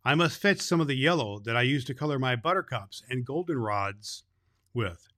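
A man's voice: medium pitch, expressive, moderate pace, fairly bright tone, lightly acted. A man voicing helpful little flower elves in a children's story, eager and sing-song.

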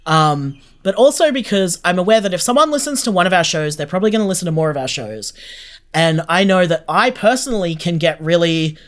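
Interview guest, podcast director type. Mid-pitched voice, gushing and informal. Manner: effusive, gushing. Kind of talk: casual interview chat.

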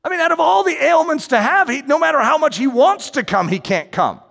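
A man preaching a sermon as pastor, animated and conversational, with asides to the congregation. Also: very high-pitched, a little fast, creaky. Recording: long hall reverb.

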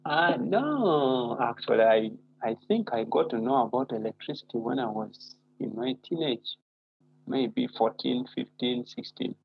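A man's voice: medium pitch, expressive, measured pace, creaky, breathy edge. Ugandan man on a dull remote line. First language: Acholi. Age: 30s. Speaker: guest author. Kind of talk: interview.

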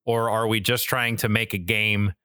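A man with a fairly low, bright voice talks casually as a podcast co-host. He is emphatic and slangy, and the sound is very dry. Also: level tone, normal speed, clear, resonant tone, no echo. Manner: emphatic, slangy, mildly profane. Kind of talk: casual chat about video games and media.

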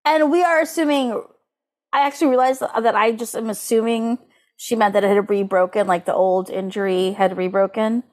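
A woman co-hosting a podcast in casual comedic chat, animated and giggly, sprinkling her sentences with "like". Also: fairly high pitch, normal speed. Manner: animated, giggly, uses "like" constantly. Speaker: podcast co-host. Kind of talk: casual comedic chat, recapping and reading aloud.

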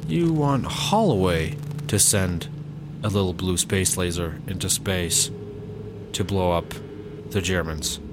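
A man's voice: low voice, takes it slowly, noisy background. A man playing a weary, incredulous squad-car partner, the dry straight man of a comic audio drama.

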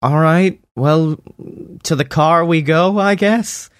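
A man's voice: fairly high pitch, slow pace, smooth, no room sound, studio quality, voice pushed up. A man voicing a nervous, sarcastic young wizard boy. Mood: wary. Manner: nervous, snarky.

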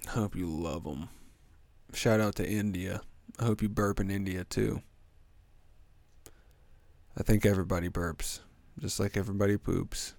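A young man with a congested, stuffy nose rambles through a solo podcast, crude and joking as he wanders off on tangents. His voice sits fairly low, and the recording is dry and close with no room sound. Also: steady tone, normal speed, creaky, pauses often, darkish tone, dry recording. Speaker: podcast host.

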